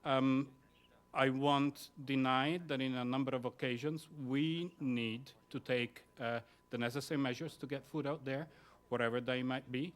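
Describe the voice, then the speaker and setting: A man on a conference panel answering an audience question about relief work, speaking in a measured way with many pauses. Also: medium pitch, fairly even intonation. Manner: measured, with frequent filler pauses. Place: conference room.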